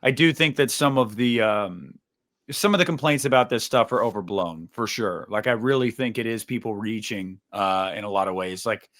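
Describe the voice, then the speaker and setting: A man's voice: fairly low pitch, normal speed, fairly bright tone. A man talking casually and giving his opinions as a panelist in a podcast discussion.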